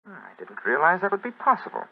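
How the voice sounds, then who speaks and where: An actor playing a devious English expatriate in a 1940s radio drama, affecting nonchalance with a hesitant, excitable manner. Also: male voice, high voice, fairly quick, creaky, husky, full character voice. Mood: glib, casual. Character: smooth, devious English expatriate deserter posing as an heir. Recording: muffled old radio recording.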